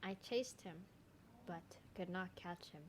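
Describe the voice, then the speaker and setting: A woman's voice: lowish voice, measured pace, slight background noise, rough recording. A woman game streamer giving informal, reactive let's play commentary. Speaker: streamer playing a video game.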